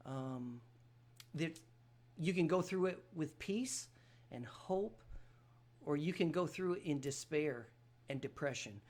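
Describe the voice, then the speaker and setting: A man's voice: on the high side, slow, long pauses, a little mellow. A man from Vermont talking casually and encouragingly to his listeners as a show host, in a folksy, hesitant way. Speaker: show host and singer-songwriter.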